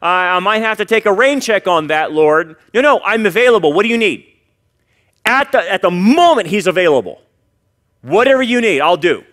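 Higher-pitched American man preaching. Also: animated, normal speed. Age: middle-aged. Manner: emphatic, swinging between forceful and hushed delivery. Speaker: pastor. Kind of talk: expository sermon with scripture reading. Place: church stage before a congregation, indoors.

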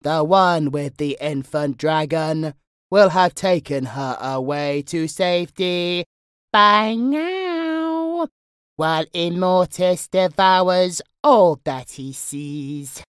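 A woman's voice: fairly low pitch, slow, clean tone, dry recording, clean studio sound. A woman playing a gleeful, sinister demonic entity in a horror audio roleplay, taunting in a singsong, menacing way. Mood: mocking, playful.

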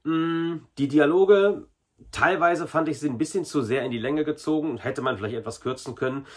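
Male, 40s, slightly rough-edged voice, informal vlog reviewer, chatty and familiar with viewers. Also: on the high side, normal speed. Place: small indoor hobby room.

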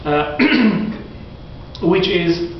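A man in his forties giving a classroom lecture, animated and gesturing, often restarting his sentences. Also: high voice, extremely slow, slight background noise. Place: classroom in front of whiteboard. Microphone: far camera. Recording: echoey classroom from far off, dull lo-fi.

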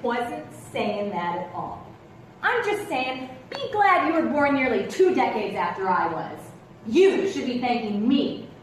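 Casting: woman playing veteran female cop, middle-aged, high swooping voice, defensive and patronizing, heard across an echoey theatre. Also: very animated, measured pace. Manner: patronizing, calls people darling and hun. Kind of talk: live stage play acting. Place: theatre stage with rows of seats. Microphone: distant camera. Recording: echoey theatre stage from far off, noisy.

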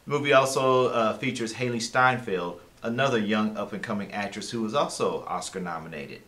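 A man's voice: on the low side, fairly quick, fairly bright tone. An American-accented man in his thirties, co-hosting a conversational film review in a small room.

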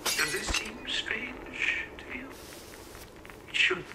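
A zealous male cult preacher in a video game, exhorting his flock with a sweeping, lively delivery. He is heard from a distance through heavy noise. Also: extremely high pitch, slow, exaggerated voice. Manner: rhetorical, exhorting. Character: fervent male cult preacher promising a better world. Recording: echoey, distant, loud background noise.

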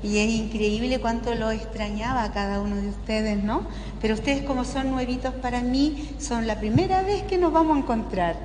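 Older chilean nun giving an informal sermon, highish voice, big echoey hall, keeps asking "right?". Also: female voice, normal speed, a little mellow. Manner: conversational, checking in with frequent tag questions. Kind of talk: informal spoken sermon to a live audience. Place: large indoor parish hall. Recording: echoey hall with clear background noise.

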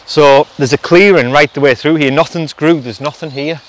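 A man's voice: on the high side, moderate pace. A middle-aged man, outdoors in a forest, speculating and explaining things as he goes in a casual vlog. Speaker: vlog host restoring a château. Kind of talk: casual walk-and-talk vlog narration.

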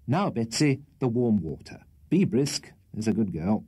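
A man's voice: medium pitch, very animated, unhurried, dark tone, breathy edge. A man voicing an anxious, miserable young host in a storybook reading, pleading with strained politeness. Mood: suave, then stern. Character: anxious, miserable young male host cowed by his landlady.

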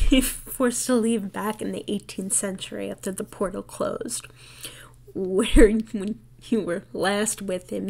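A woman's voice: medium pitch, creaky, darkish tone. A young woman with a New York accent chatting casually and laughing often as she plays through and reads a story game demo. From New York City. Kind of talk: casual game walkthrough commentary.

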